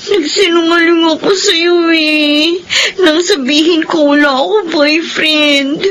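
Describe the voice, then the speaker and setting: An actress playing a distraught, guilty young pregnant daughter in a Filipino radio drama, with a Filipino accent and a whining, tearful delivery. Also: female voice, extremely high pitch, fairly even intonation, takes it slowly, fairly bright tone, slight background noise, heavily acted. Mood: tearful, anxious. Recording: radio drama with music underneath.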